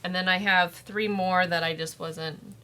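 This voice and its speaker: Bright-toned woman chatting on a podcast. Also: on the low side, steady tone, a little slow. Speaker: podcast co-host. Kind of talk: casual podcast banter and questioning. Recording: noticeable background noise.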